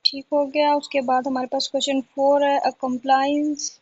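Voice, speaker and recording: Woman, tutor, informal lesson, flat intonation, repetitive list reading, self-questioning, dull lo-fi recording. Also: high-pitched, normal speed. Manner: repetitive, asks herself questions before answering. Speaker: law exam tutor.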